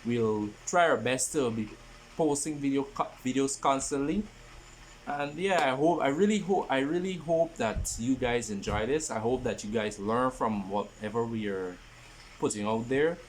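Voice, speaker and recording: A man in his twenties introducing a podcast in a casual, halting way, often repeating his phrases. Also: mid-range voice, a little hiss or hum, rough recording. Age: 20s. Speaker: podcast host.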